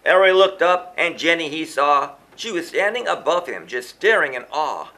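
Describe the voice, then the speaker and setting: A man narrates a children's story in verse. His voice sits high and swings widely in pitch. He leans into the rhythm theatrically, like a storybook reader performing for young listeners. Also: animated, moderate pace, sharp tone. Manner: theatrical, sing-song rhythmic delivery. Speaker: children's book author reading aloud.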